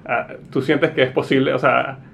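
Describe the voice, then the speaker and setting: A man's voice: mid-range voice, level tone, normal speed, creaky. Man hosting a podcast interview. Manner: warm, hesitant questioning with restarts. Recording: dull lo-fi sound with faint noise.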